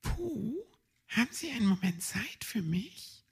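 A man's voice: very high-pitched, big pitch swings, unhurried, vocal fry, a bit bright, slightly rough, voice pushed up, full character voice. A man voicing a sly, proud elderly lady hedgehog who snuffles and speaks secretively.

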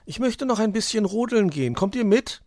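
A man's voice: high-pitched, animated, brisk, darkish tone, pitched above the performer's own voice. A man voicing a small, friendly, curious young fox in a children's story.

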